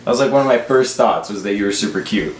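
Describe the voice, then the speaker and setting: A man's voice: on the low side, fast, a little hiss or hum. A man in his twenties casually telling a story, animatedly acting out the remembered dialogue. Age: 20s. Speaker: storyteller in a couple's vlog. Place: small living room, indoors. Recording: roomy echo.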